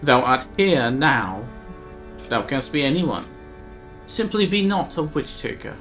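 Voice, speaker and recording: A man's voice: mid-range voice, big pitch swings, unhurried, a bit bright, soft background music. A man voicing a cryptic, fierce northern woman who speaks in old-fashioned thee and thou, reading a text game aloud on a live stream. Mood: pleading.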